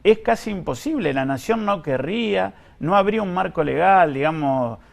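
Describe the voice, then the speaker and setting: Argentine man with a creaky voice. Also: sits high, expressive, moderate pace. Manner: hesitant, repeats himself while explaining. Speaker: guest, pension fund director. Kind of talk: interview answer, explanatory.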